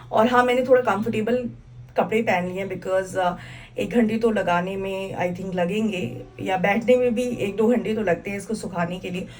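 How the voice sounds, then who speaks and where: A woman's voice: mid-range voice, a little mellow. A young woman from Bihar vlogging casually to camera, chatty and full of fillers, with a sore throat. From Bihar, India. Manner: chatty, mixes English into Hindi, many fillers. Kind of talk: casual vlog chat to camera.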